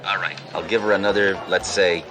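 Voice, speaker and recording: An actor playing a macho police detective who talks in a gruff, sarcastic, deadpan way. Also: male voice, deep voice, fairly quick, music in the background, natural voice. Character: gruff macho cop, adult man. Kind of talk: sitcom acting.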